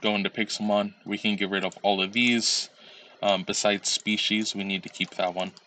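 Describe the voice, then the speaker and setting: A man's voice: lowish voice, level tone, moderate pace, sharp tone, a little hiss or hum. A man recovering from a cold, sounding a little nasal, walking viewers step by step through a screen-recorded tutorial with frequent ums and uhs. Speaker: tutorial creator.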